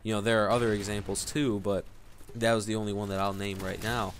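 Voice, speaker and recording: A young man speaks in a somewhat low voice, chatting straight to his audience like a casual vlogger. He rambles, restarts sentences and fills gaps with "you know" and "uh". A faint background noise sits under his voice. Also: flat delivery, fairly quick. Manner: rambling, with frequent fillers and restarts. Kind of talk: casual storytelling to camera.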